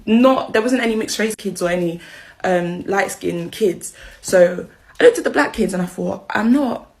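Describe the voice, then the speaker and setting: A woman's voice: medium pitch, moderate pace, creaky. Chatty young British woman telling an anecdote. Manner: chatty, slangy, self-mocking. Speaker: video creator. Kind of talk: casual anecdote telling with acted-out dialogue.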